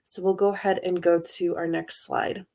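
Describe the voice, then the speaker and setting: Woman, webinar presenter, low pitch, flat intonation, steady informative delivery, video-call mic. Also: moderate pace, vocal fry. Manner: informative, steady, with frequent hesitation fillers. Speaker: university international student services director, presenter.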